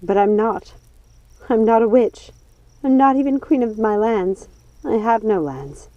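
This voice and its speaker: A woman's voice: fairly high pitch, unhurried, no echo, muffled recording, close to an everyday voice. A woman voicing a troubled, irritable witch's daughter from a storybook, plaintive and exasperated. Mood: despairing. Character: troubled, irritable witch's daughter doubting her own magic.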